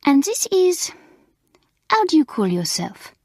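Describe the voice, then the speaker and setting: A woman voicing an excitable schoolmistress with a high, emphatic, scolding manner. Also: slow, no room sound, studio quality, heavily acted. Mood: fussy. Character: excitable middle-aged French schoolmistress, fussy.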